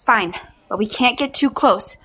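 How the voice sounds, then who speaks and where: A woman's voice: a little high, measured pace, bright, forceful tone, husky, heavily acted. An actress playing a hunted, wary woman in an audio drama, speaking with urgency. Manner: urgent, tense. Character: hunted, wary woman who has died before.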